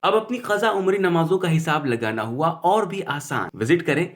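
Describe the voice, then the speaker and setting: A male announcer delivers a scripted promotional spot. His voice sits on the high side, and his pitch moves around in a very lively way. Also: moderate pace.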